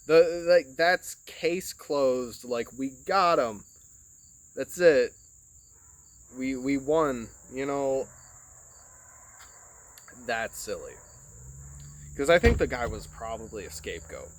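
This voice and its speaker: A man's voice: mid-range voice, expressive, slow pace, pauses often, no room sound. A man from Michigan in a casual, rambling monologue, recorded on his phone, swearing often and filling his talk with "like" and "you know". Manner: rambling, profane, heavy filler words. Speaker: podcast host.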